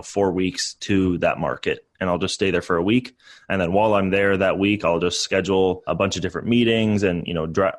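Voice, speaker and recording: A young man in his twenties, a real estate investor guest, talking casually and upbeat with many fillers. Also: sits low. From born in Canada, raised in the US. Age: 20s. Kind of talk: casual podcast interview.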